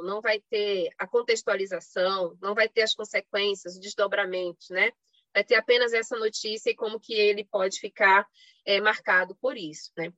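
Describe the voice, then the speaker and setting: A woman's voice: medium pitch, a bit bright, no echo. Brazilian woman doing an online academic talk, explains stuff with rhetorical questions, dry slightly muffled sound. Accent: Brazilian Portuguese. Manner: explanatory, punctuated with rhetorical questions and frequent tag checks. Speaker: academic panelist giving a presentation. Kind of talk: academic online lecture with slides, semi-improvised. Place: online live stream. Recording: slightly dull lo-fi online call audio.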